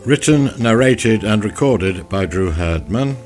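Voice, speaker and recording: A man narrating a scripted radio drama in a theatrical, deliberate manner. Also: lowish voice, lively intonation, darkish tone, faint music behind.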